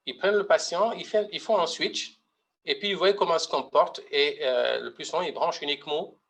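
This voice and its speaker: A man's voice: fairly high pitch, a little fast, vocal fry, fairly bright tone. A middle-aged man from Mauritania giving a medical webinar lecture, hesitant, with many fillers and restarts. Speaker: pediatric heart surgeon presenting.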